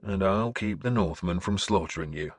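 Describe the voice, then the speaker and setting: A man playing a gruff, sceptical warrior lord in a historical audiobook, speaking bluntly and drily. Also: low-pitched, normal speed, dry recording. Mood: grim, blunt. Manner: blunt, dry.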